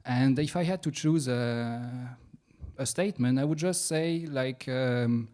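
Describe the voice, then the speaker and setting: A young man from France with a French accent, doing a science stand-up comedy set in a self-mocking, halting way with playful asides. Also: medium pitch, darkish tone. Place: comedy venue stage.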